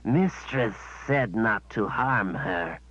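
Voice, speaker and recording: A man voicing a squat, groveling male creature servant who speaks in slow, broken, whining phrases. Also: fairly low pitch, lively intonation, fairly bright tone, slightly rough, voice pushed up, heavily acted. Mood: dutiful. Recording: dull, dry sound.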